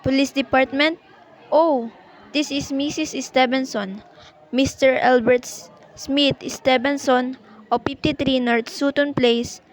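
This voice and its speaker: A woman's voice: high voice, steady tone, measured pace. A woman playing an anxious, imperious married lady on the telephone in an amateur radio play, insistent, fretful and easily exasperated. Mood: agitated, pleading. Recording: noisy amateur recording.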